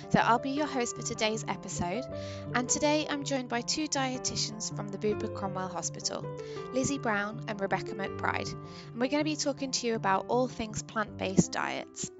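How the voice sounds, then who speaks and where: Gravelly-voiced woman hosting a podcast. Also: on the high side, normal speed, lo-fi sound, music in the background. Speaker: podcast host.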